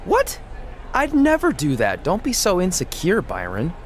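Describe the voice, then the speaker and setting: A cheeky, joking ex-wrestler. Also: male voice, high-pitched, wildly swooping pitch, unhurried, a little hiss or hum, exaggerated voice. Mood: playful. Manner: playful, joking.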